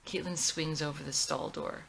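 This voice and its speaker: A woman reads screenplay stage directions in a scripted narrating style. Her voice sits a little low but has a bright, clear edge. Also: steady tone, normal speed, a little hiss or hum. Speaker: writer reading own screenplay.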